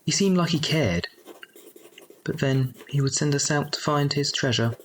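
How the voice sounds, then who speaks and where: An actor playing a grown orphan man recalling his boyhood among thieves, in reflective, measured first-person audio drama narration. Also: fairly high pitch, slight background noise, lightly acted.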